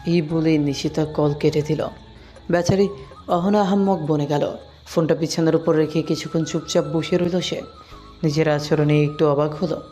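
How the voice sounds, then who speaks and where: Even-toned story narrator over music. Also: mid-range voice, moderate pace. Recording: music under the voice.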